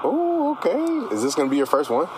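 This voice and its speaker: A man's voice: high-pitched, huge pitch swings, a little fast, a little hiss or hum, low-quality recording. A man hosting a casual, slangy radio interview in an enthusiastic, informal and affirming way. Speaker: radio show host interviewing a musician.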